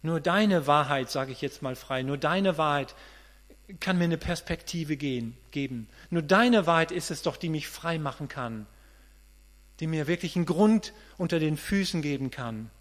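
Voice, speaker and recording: A man's voice: fairly high pitch, normal speed. A man in his late fifties giving a conversational, earnest sermon, often restarting and repeating words as he thinks aloud. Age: 50s. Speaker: preacher. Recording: reverberant hall with mains hum.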